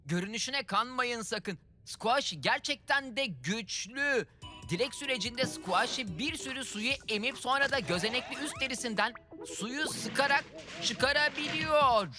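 A woman's voice: fairly high pitch, animated, a little slow, music in the background. A woman voicing an enthusiastic keeper of magical wishes in a children's cartoon, explaining things emphatically. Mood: eager, explaining.

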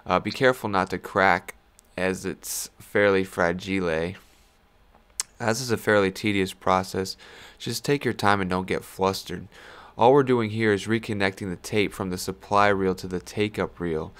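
A man giving a casual, low-key how-to voice-over as the host, speaking in an unscripted, slightly hesitant way. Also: fairly bright tone.

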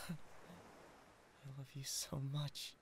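A man's voice: extremely slow, fairly bright tone, slight background noise. A man voicing a lovestruck, awkward devil king of Hell in an intimate ASMR roleplay, hesitant and stammering. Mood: tender, shy. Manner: hesitant, stammering, swinging from tender to giddy. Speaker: audio roleplay voice actor.

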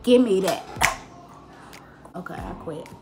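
A woman in her thirties with a raspy voice, chatting casually and laid-back through a home vlog, sometimes dropping to a soft aside. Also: fairly low pitch, expressive, takes it slowly, roomy sound. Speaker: home vlogger. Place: living room in a house. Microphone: phone camera held or set on a table. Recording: background music under the voice.

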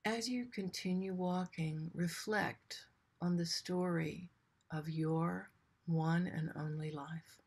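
Female meditation guide, lowish register, very unhurried delivery, gentle and soothing, generous pauses. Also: fairly even intonation, creaky, breathy edge. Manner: gentle, soothing, with long pauses between short phrases.

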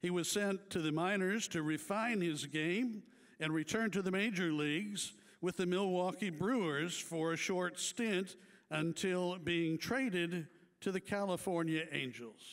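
A man with a high voice reads hall of fame tributes to a banquet crowd, taking his time over each line. His delivery is measured and deliberate, broken by small playful asides. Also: fairly bright tone. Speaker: awards ceremony announcer. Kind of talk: reading prepared inductee tributes.

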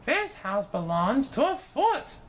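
Woman putting on a high, fussy mother bird. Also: very animated, takes it slowly, full character voice. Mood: dismissive. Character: bossy, fussy female mother bird, sweetly contented at home.

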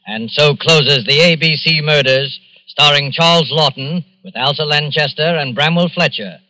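A man's voice: fairly high pitch, normal speed, bright, forceful tone, no room sound, rough recording. A man announcing a 1940s radio drama as its narrator, formal and dramatic. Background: music.

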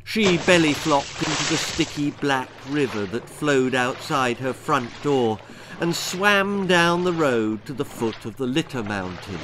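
A man's voice: mid-range voice, fairly bright tone, a little hiss or hum. A British man in his sixties reading a children's story aloud in a dramatic, theatrical manner. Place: small book-lined room indoors.

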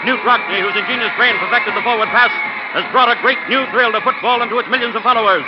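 A grandiose 1940s radio sports narrator. He declaims urgently in a very high-pitched voice, muffled on an old broadcast with loud music behind. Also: fairly even intonation, quick pace, over a noisy crowd. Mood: exultant, urgent. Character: grandiose, breathless male sports commentator narrating.

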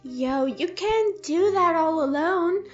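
A woman's voice: very high voice, animated, slow pace, soft background music, full character voice. A woman playing a casual, unsure young monster kid in a fan audio drama, slangy and hesitant, on a dull lo-fi mic. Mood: worried. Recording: dull lo-fi microphone.

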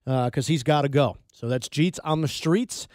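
A man's voice: on the high side, moderate pace, a little mellow, no echo, studio quality. A man co-hosting on radio with jokey commentary and banter. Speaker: radio co-host.

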